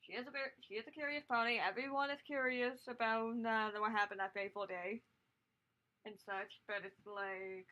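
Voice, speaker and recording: A woman chatting casually on a livestream as she works, halting and thinking aloud as she goes. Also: fairly high pitch, fairly even intonation, pushed and bright, rough recording. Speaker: streaming artist, host. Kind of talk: casual rambling livestream chat while drawing.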